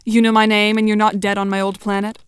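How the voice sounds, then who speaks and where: A woman's voice: mid-range voice, flat delivery, fast, clear, resonant tone, dry recording, close to an everyday voice. A woman playing a dry, self-assured, sardonic teenage girl with formal diction in an audio drama. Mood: curt, annoyed.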